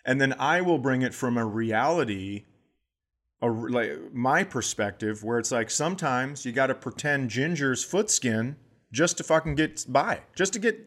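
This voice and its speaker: A middle-aged man co-hosting a comedy podcast, telling absurd jokes deadpan about parenting. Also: medium pitch, moderate pace. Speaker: podcast co-host. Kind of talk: casual comedic chat.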